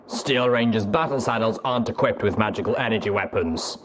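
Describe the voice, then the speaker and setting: A man voicing a stern, gruff male soldier pony in armor, stiff, authoritative and demanding. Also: lowish voice, fairly quick, background noise, lightly acted. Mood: flat, factual.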